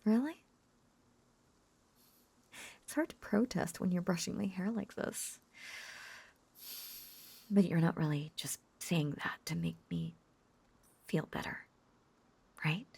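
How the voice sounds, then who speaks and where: A lovesick witch, acted by a woman in an intimate audio roleplay speaks very slowly in a breathy, whispery voice with a creaky edge. She is clingy and possessive, coaxing her beloved in halting, lonely phrases. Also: on the low side, lively intonation, a little mellow, no room sound, exaggerated voice. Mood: insecure, pleading.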